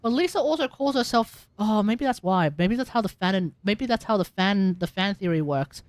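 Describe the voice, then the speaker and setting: A woman's voice: mid-range voice, animated, normal speed, no echo. A woman hosting a livestream with casual game commentary, thinking aloud and correcting herself as she goes. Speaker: livestream host. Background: game music.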